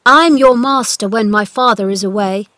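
A woman voicing a frail but imperious young boy in a storybook, high-strung and commanding, with emphatic delivery. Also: moderate pace, a bit bright, no room sound, close to an everyday voice.

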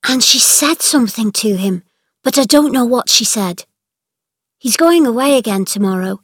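A woman's voice: a little high, expressive, normal speed, no echo, studio quality, voice pushed up. A woman voicing a friendly young maid passing on news eagerly.

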